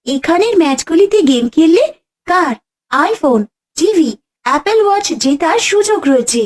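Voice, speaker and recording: A woman presenting a scripted app advertisement in an upbeat, promotional manner. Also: high-pitched.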